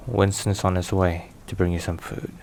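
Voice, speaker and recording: A man playing a weary, caring mafia boss boyfriend in an ASMR roleplay, hushed and sighing between phrases. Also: low-pitched, vocal fry, a little hiss or hum, heavily acted. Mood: relaxed, caring.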